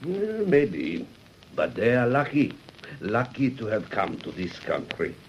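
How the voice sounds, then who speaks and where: An actor playing a pragmatic immigrant shopkeeper husband, deliberate and matter-of-fact in a radio drama. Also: male voice, mid-range voice, huge pitch swings, gravelly, heavily acted. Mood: musing. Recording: dull radio drama recording, faint noise.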